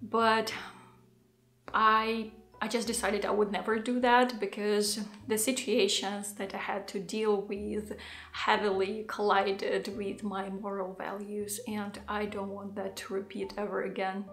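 A woman in her thirties, not a native English speaker, talking to the camera at home in a thoughtful, unhurried vlog with soft laughs. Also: medium pitch, level tone. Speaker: vlogger. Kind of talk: casual talking-head vlog. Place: home living room, indoors. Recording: echoey room.

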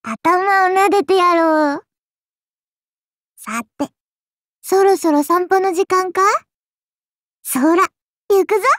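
A woman's voice: very high voice, takes it slowly, pauses often, a bit bright, dry recording, studio quality, heavily acted. A woman playing a childlike, playful serpent-god oni in an anime game, speaking in a haughty, archaic lordly manner with indulgent teasing. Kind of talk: anime game character voice lines.

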